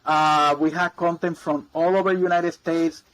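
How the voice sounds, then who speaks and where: A man's voice: a little high, little pitch movement, normal speed, fairly bright tone, rough recording. Man, rambling guest. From Puerto Rico. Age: 40s. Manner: rambling, repeats words, many ums and uhs. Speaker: guest, mortgage broker. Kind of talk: casual interview chat.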